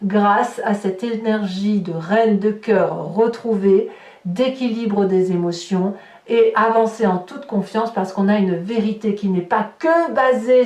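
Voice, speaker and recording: A woman's voice: medium pitch, mellow. A woman giving an unscripted tarot reading to viewers, repeating words for emphasis as she searches for the next one. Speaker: tarot reader, host of horoscope guidance videos. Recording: roomy echo, distant microphone.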